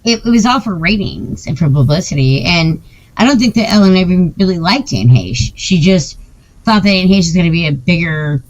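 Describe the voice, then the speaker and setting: A woman's voice: lowish voice, expressive, normal speed, lo-fi sound. A woman hosting a casual chat, talking emphatically and insistently, often repeating her points.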